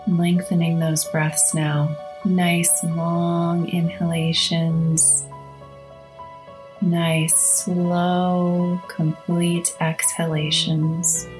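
A woman hosting a guided meditation, speaking in a soothing, measured way with long pauses between short phrases. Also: fairly low pitch, completely flat delivery, darkish tone. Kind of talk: slow guided meditation narration. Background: instrumental music.

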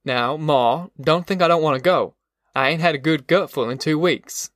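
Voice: mid-range voice, moderate pace, no echo, studio quality, close to an everyday voice. A narrator voicing a worn-down, proud farm father who grumbles sarcastically in rustic dialect for an audiobook. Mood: resigned. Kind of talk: audiobook character voice.

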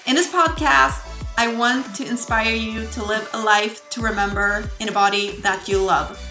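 A woman's voice: on the high side, fairly even intonation, moderate pace, music in the background. A woman with a Swedish accent, a non-native English speaker, reading a scripted podcast intro and sponsor message as the host. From Sweden.

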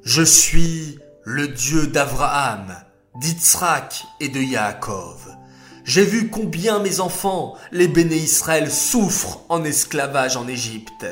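A man voicing God in a children's story, solemn, calm and commanding, speaking slowly and deliberately. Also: mid-range voice, faint music behind. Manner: slow, grave, deliberate.

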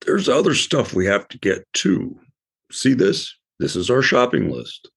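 A man voicing a sensible, patient male elephant in a children's cartoon, explaining things calmly. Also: medium pitch, unhurried, vocal fry, husky, exaggerated voice. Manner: patient, explaining, mildly fussy.

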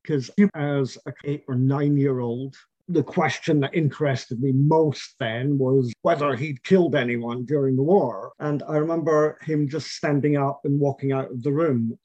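A middle-aged man, telling about his family's past in a measured, reflective way, with fillers and restarts. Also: mid-range voice, steady tone, warm tone, no room sound. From Scotland. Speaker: interviewee. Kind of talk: oral history interview. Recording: dull, lo-fi and dry.

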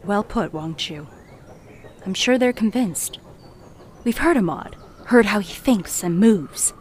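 An actress playing a worldly, teasing treasure merchant who narrates an audio drama, sly, confiding and theatrical. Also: female voice, mid-range voice, lively intonation, slow, a little mellow, a little hiss or hum.

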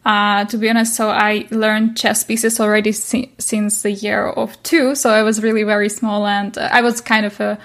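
A young woman with a Ukrainian accent chats as an interview guest in a second language. Her medium-pitched voice stays fairly flat, and her phrasing is hesitant and halting. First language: not English. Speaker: interview guest, chess coach. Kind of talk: casual interview chat.

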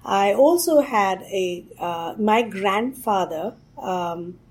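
A woman's voice: mid-range voice, expressive, takes it slowly, darkish tone, breathy edge. A middle-aged woman from India, a guest answering interview questions reflectively, with fillers and restarts. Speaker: guest, tech executive.

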